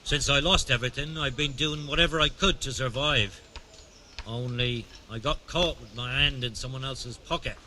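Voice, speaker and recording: An actor playing a wily, aggrieved beggar and pickpocket in a video game, plaintive and streetwise. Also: male voice, mid-range voice, normal speed, pushed and bright. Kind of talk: video game dialogue acting.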